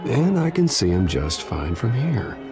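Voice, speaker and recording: A man's voice: medium pitch, big pitch swings, a little slow. A man acting as a reserved, brooding billionaire heir with a dry, understated delivery in a video game. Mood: dry, composed. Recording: music behind the voice.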